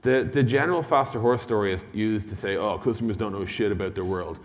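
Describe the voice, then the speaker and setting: A man's voice: lowish voice, a little fast. A man giving an informal product talk on a conference stage, casual and blunt, as an executive addressing a room. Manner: casual, blunt, mildly sweary, uses acted-out dialogue. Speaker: conference speaker, tech company executive. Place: large indoor conference hall. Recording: long hall reverb from conference stage.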